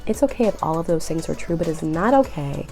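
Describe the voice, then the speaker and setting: A young woman with a cold, sounding nasal and raspy, giving earnest, encouraging relationship advice straight to the viewer. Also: deep voice, lively intonation, fairly quick, a little mellow, faint music behind. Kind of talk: direct-to-camera advice vlog.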